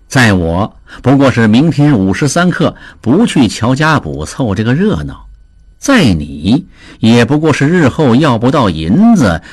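A man voicing a calm, scheming merchant in an audiobook, speaking in a smooth, slyly jovial, unhurried way. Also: fairly low pitch, full character voice. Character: sly, scheming male merchant, calm and calculating. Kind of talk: audiobook character voice.

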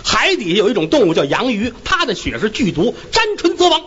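A storyteller voicing a stern male magistrate in his thirties, loud and commanding. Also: high voice, huge pitch swings, brisk, husky, lo-fi sound, voice pushed up, exaggerated voice.

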